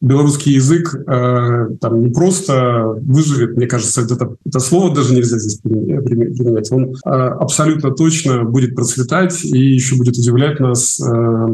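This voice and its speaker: Belarusian guy, interview guest, calm explaining w lots of uh, warm mellow tone. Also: mid-range voice, low-quality recording. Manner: measured, explanatory, with many fillers. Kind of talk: reasoned argument in interview.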